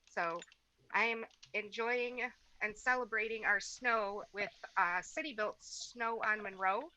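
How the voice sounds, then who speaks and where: A woman's voice: mid-range voice, unhurried, bright, forceful tone, dry recording, poor audio. A woman co-hosting a podcast, chatty and casual. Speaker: podcast co-host. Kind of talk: casual chat.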